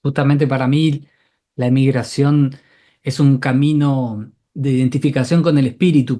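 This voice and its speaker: Mellow-voiced gentle male host. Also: medium pitch. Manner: gentle, appreciative, with soft backchannels. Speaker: podcast host. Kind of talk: interview conversation.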